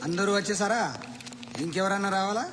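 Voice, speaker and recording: A man's voice: high voice, fairly even intonation, fairly quick, music in the background, close to an everyday voice. A man playing a sarcastic police chief in a film, holding forth to reporters in a declamatory way. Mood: sardonic. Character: sardonic male police chief lecturing reporters. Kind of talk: film dialogue as press briefing. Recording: reverberant hall sound.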